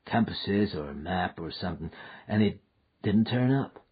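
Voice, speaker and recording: A tired frontier man murmurs in a low, husky drawl, soft and patient with the little one beside him, as a male reader plays him. The voice sits deep and rough, and the recording is slightly dull and lo-fi. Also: lively intonation, measured pace, exaggerated voice. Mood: gentle. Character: weary frontier man, gentle with the child.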